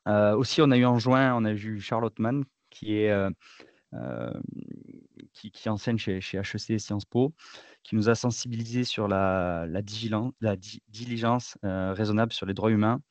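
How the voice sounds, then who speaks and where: Low-voiced man introducing a webinar. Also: steady tone, moderate pace, darkish tone, no echo. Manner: hesitant, filler-heavy introduction. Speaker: chapter co-lead and event host. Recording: dry, slightly dull lo-fi sound.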